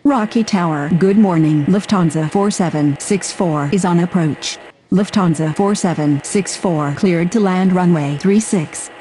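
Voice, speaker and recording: A woman's voice: fairly low pitch, a little slow, warm tone, a little hiss or hum, lightly acted, poor audio. A woman playing a businesslike airline radio voice, giving formal, clipped scripted phraseology. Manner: formal, procedural. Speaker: air traffic controller and radio caller.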